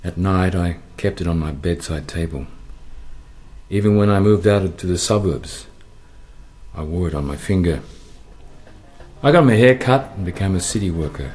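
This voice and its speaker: An Australian man narrating a radio play in a brooding, measured way. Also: sits low, expressive, a little mellow, soft background music.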